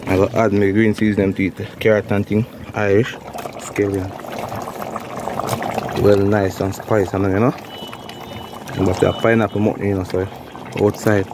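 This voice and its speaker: A man's voice: fairly low pitch, little pitch movement, unhurried, long pauses. A man with a Jamaican accent casually narrating his cooking as host, often repeating phrases and tags. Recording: clear background noise, pot sizzling and clatter.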